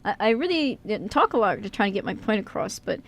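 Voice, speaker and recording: A woman's voice: medium pitch, very animated, fast talker. A woman acting a nervous, good-natured young man in an amateur radio play, stammering and hesitant as he puts himself down. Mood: bashful, amused. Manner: heavily stammering, hesitant, self-deprecating.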